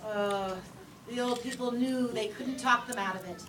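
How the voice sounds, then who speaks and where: A woman telling a story to young children in a playful, lively way with drawn-out emphasis, in a classroom. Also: on the high side, very noisy. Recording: echoey room from far off.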